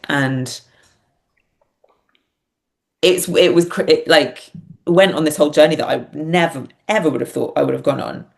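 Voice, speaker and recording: A woman's voice: sits low, vocal fry. A woman talking candidly and reflectively in a casual interview, thinking aloud as she goes. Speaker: podcast guest, playwright and performer.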